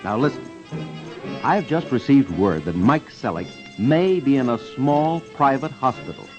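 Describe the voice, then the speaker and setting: Vintage American radio crime host, male, emphatic and urgent, highly animated, muffled broadcast over music. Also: a little slow. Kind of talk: dramatic 1950s radio crime appeal.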